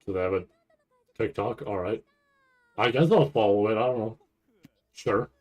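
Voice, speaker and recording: Guy streamer, kinda low voice, rambly casual commentary, keeps restarting his sentences. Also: lo-fi sound. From Canada. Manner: halting, thinks aloud with repeated restarts. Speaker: streamer reacting to a live anime event.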